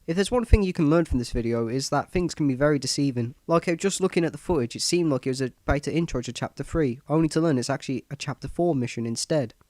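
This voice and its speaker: A man's voice: a little high, fast, few pauses, a little mellow, no room sound. A man with a cold and a stuffy nose, giving a scripted, matter-of-fact voice-over analysis of a video game.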